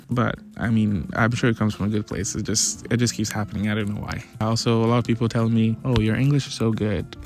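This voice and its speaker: A young man from Ghana talking casually to his viewers in a relaxed, chatty way. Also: fairly low pitch. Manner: relaxed, chatty, with many restarts and fillers. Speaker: vlogger. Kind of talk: casual talk to camera.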